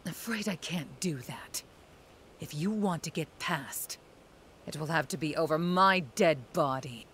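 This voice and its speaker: A woman's voice: sits low, a little slow, fairly bright tone, slight background noise. A woman voicing a proud, stern young female general in a video game, formal and commanding in manner. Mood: defeated, bitter.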